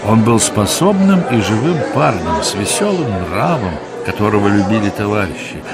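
A man's voice: lowish voice, very animated, moderate pace, a loud crowd. A man narrating a radio play in a scripted storytelling style. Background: music under the voice.

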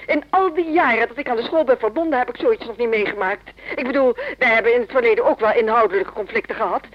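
An actress playing an indignant, long-serving music teacher, speaking formally and emphatically in a radio drama phone call. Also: female voice, on the high side, fast talker, lightly acted. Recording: slightly dull phone-call sound.

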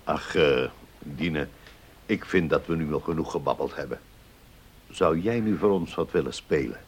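An actor playing a genial, reserved older uncle in a Dutch radio play. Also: male voice, rumbling low, moderate pace, breathy edge. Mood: mild, evasive.

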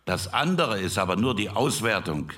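Set back from the mic, a principled male statesman speaks in a sharp, forceful, lowish voice. He argues formally and emphatically, like an orator in committee. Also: sing-song, moderate pace, distant mic. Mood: firm objection. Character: principled elder statesman arguing in a constitutional debate, aesthetically minded. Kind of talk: re-enacted committee debate.

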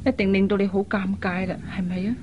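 An actress playing a considerate, demure young elder sister in a Cantonese radio drama, speaking in a gentle, earnest way. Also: female voice, mid-range voice, little pitch movement, fast, muffled recording, noisy background, close to an everyday voice. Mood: apologetic. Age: young adult.

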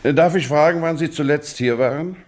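An actor playing a stern, insistent English police investigator in a German radio drama, questioning in formal, pointedly courteous phrases. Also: male voice, fairly high pitch, moderate pace, a little mellow, lightly acted. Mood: politely probing. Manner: formal, pointed questioning with mock-polite address.

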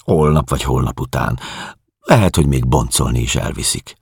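A man's voice: extremely low pitch, gravelly, husky, no room sound, clean studio sound, exaggerated voice. A man reading the dialogue of a sober, reassuring refugee husband in an audiobook.

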